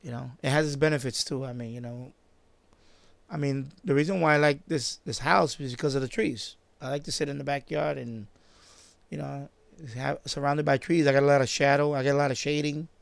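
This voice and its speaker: New guy rambling on a livestream, creaky gravelly voice, dry close sound, keeps stopping and restarting. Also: mid-range voice, fairly even intonation, no echo. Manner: halting, repetitive, trailing off and circling back. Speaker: live-stream host, radio hobbyist. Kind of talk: casual unscripted live-stream chat.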